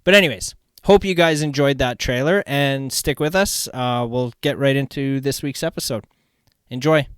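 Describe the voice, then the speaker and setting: Smooth-voiced Canadian man chatting excitedly. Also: mid-range voice, moderate pace, no room sound, studio quality. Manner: excitable, rambling, slangy. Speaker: podcast host. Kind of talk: casual chat, storytelling.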